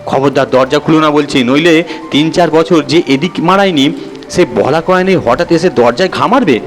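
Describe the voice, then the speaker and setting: A man's voice: on the high side, natural voice. A man voicing a scornful wife who snaps at and mocks her husband. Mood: scornful scolding. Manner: snapping, mocking, brisk. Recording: long reverb, background music.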